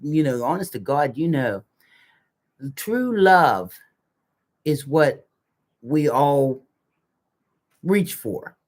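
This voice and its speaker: A woman's voice: deep voice, sing-song, drawn-out words, long pauses, darkish tone. An older woman co-hosting a casual live chat, talking in a folksy, colloquial and emphatic way. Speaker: co-host of a live community chat show.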